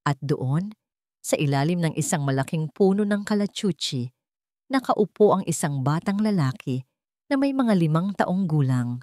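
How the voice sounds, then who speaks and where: A woman's voice: lowish voice, expressive, normal speed, dark tone, dry recording, studio quality. A woman with a Filipino accent reading dramatic scripted narration as a storyteller.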